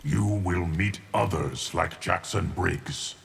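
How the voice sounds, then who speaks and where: Geras, a deep, solemn eternal guardian. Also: male voice, gravelly, long reverb tail, slight background noise, exaggerated voice. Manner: measured, stoic, grave. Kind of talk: fighting game character banter.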